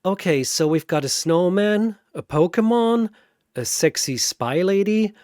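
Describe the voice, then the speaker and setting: A man voicing a wry, unimpressed young woman vampire slayer in a storybook battle tale, speaking casually and haltingly. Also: high voice, lively intonation, darkish tone, dry recording, clean studio sound, voice pushed up. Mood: wry disbelief.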